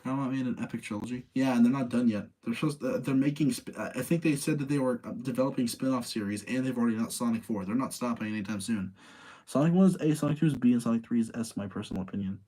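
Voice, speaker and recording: A man's voice: mid-range voice, fast talker, warm tone, poor audio. A man hosting in a casual, informal chat style, freely giving his own opinions as he talks to listeners. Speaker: host of a live ranking show about video game movies.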